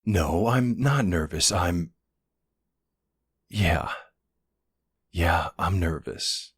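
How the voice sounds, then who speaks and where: A very low, husky male voice acted by a man plays an affectionate boyfriend in an audio roleplay. He talks slowly and hesitantly, with nervous tenderness and a teasing edge. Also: expressive, dry recording, full character voice. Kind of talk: intimate audio roleplay acting.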